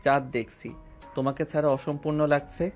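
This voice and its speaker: A man's voice: fairly high pitch, little pitch movement, moderate pace, close to an everyday voice. An actor playing a playful, persistent young man who courts a woman with teasing affection. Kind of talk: Bengali audio drama acting. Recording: music underneath.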